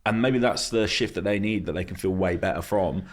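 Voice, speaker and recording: A male podcast host chatting casually in a relaxed way, laughing easily. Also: low voice, a little fast.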